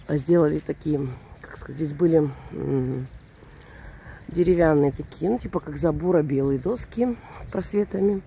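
Hoarse woman rambling on a morning walk. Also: low-pitched, dark tone, a little hiss or hum. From Russian-speaking, living in Germany. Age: 40s. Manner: chatty, rambling, self-correcting. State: hoarse from a cold. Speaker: vlogger. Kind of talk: casual walking vlog commentary. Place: outdoors at a seaside resort in early morning.

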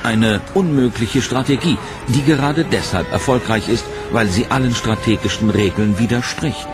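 A man giving scripted, measured documentary narration in a dramatic tone. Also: medium pitch. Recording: narration over loud music.

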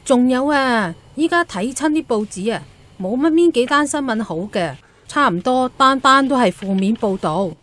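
A woman's voice: high voice, lively intonation, normal speed, a bit bright. An actress playing a righteous, complaining female teahouse customer with a Hong Kong accent in a radio drama.

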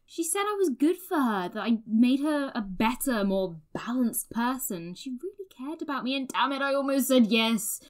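A wry young bachelorette played by an actress in an audio drama. Her voice is on the high side and moves expressively, with a hesitant, sheepish manner and dry asides. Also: animated, normal speed, few pauses. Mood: rueful. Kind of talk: audio drama, casual conversation.

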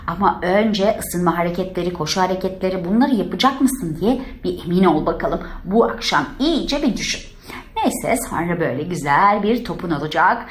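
A woman's voice: mid-range voice, slight background noise, lightly acted. A woman voicing an encouraging but chiding young tennis teacher doll in a children's toy story, coaxing with pet names. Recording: roomy indoor echo.

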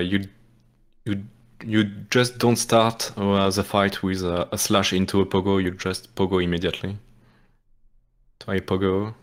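A man from France with a French accent, explaining a game speedrun in hesitant live commentary full of restarts. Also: sits low. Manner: hesitant, many restarts and fillers, apologetic.

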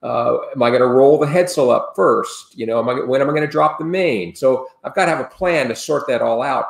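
A man's voice: mid-range voice, brisk, gravelly. Roomy-sounding older man explaining sailing drills. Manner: rambling, many restarts and fillers, practical and earnest. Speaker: sailing expert guest. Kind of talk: casual explanatory interview talk. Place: home room, indoors. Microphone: video call webcam. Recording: roomy video call audio.

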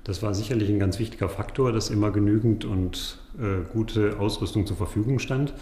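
A man's voice: on the low side, fairly quick, a little mellow. A man speaking as an archaeology expert and guide, giving factual, spontaneous explanations in an interview on location. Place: on location at a museum site. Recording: echoey on-site sound with background noise.

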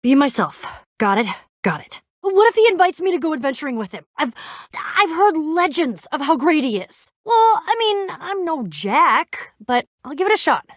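A woman voicing a brash, excitable young female adventurer in a video game, slangy and a bit awkward as she tries to sound cool. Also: high-pitched, normal speed, no room sound, studio quality. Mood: eager, nervous. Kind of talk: animated game dialogue acting.

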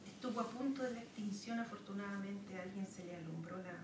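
A Chilean woman poet from the south of Chile gives a poetry reading at a podium in a lecture room, reciting slowly with pauses between lines. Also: medium pitch. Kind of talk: poetry reading with spoken asides. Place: wood-paneled lecture room, standing at a podium. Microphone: camera far across the room. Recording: roomy, distant, noisy room recording.

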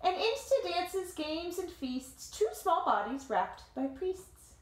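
A woman in her thirties reading a picture book aloud to children like a teacher, with dramatic, deliberate phrasing and simple explanations, recorded in a living room. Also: very high-pitched, very animated. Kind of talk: expressive storybook read-aloud with explanatory asides. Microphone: camera or phone a short distance away. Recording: roomy home echo.

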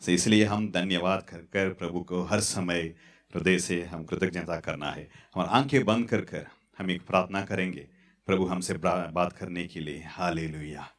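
A man in his thirties preaching a sermon on a church stage into a handheld mic, halting and repetitive. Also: deep voice, steady tone. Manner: halting, repetitive, fillers and restarts. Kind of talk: sermon with prayer. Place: church stage in front of curtains.

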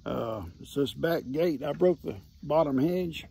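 A man, hoarse from losing his voice, chatting and teasing his farm animals outdoors into a phone held at arm's length. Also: a little high, a little slow, dark tone, slight background noise. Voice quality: gravelly. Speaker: farm vlogger. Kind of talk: casual talk to animals and camera. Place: outdoors under a shade tree on a farm.

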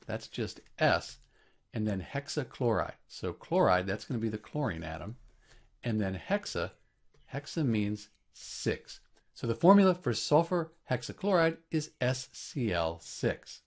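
A man narrating an educational lesson as a chemistry teacher, explaining clearly step by step. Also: mid-range voice.

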